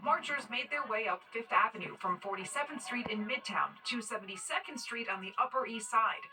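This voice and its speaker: High-voiced woman reporter over music. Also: brisk, recorded from a distance, poor audio. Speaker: TV news reporter. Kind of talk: scripted news narration. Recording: music bed under voice.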